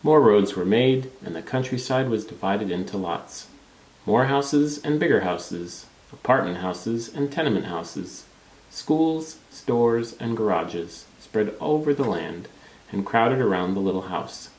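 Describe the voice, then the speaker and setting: Low-voiced man reading with lively swoops. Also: a little slow. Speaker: storybook reader. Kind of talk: children's read-aloud narration. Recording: roomy, echoing room sound.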